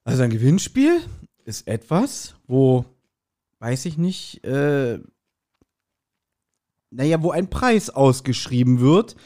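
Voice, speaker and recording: A man's voice: mid-range voice, sing-song, slow, pauses often, mellow. A man chatting casually and teasingly as a podcast co-host.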